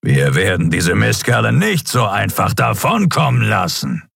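A man voicing a gruff, stern ship's captain with a commanding manner in a science fantasy audiobook. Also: lowish voice, very animated, measured pace, a bit bright, no echo, studio quality, exaggerated voice. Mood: stern, determined. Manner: grumbling, commanding. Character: gruff, stern starship captain hunting the enemy.

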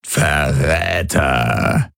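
A man playing a hostile alien commander in an audio drama, with guttural, belching speech in halting, broken phrases. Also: a bass voice, a bit bright, dry recording, studio quality, exaggerated voice. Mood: outraged. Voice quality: guttural, gravelly, belching croak. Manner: halting, broken syntax, threatening. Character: hostile alien commander, croaking, menacing, slow-witted speech. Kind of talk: audio drama character acting.

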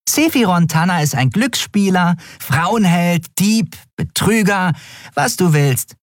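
A man's voice: high voice, expressive, takes it slowly, dry recording, studio quality, voice pushed up. A man voicing a tiny winged female creature, playful, teasing and boastful, in an audiobook. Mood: gossipy, wry. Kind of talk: audiobook character voice.

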